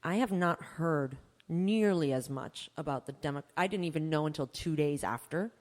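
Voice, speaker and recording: A woman's voice: low voice, normal speed. A woman co-hosting a talk podcast in casual, candid, very informal conversation. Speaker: podcast co-host. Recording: long reverberant echo, hall-like room.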